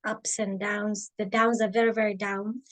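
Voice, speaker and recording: A woman in her thirties, raised in the Emirates, telling her career story in an interview, thoughtful and pausing with many ums. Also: mid-range voice, little pitch movement, fairly bright tone. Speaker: guest, biomedical scientist and author. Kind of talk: interview, reflective personal story.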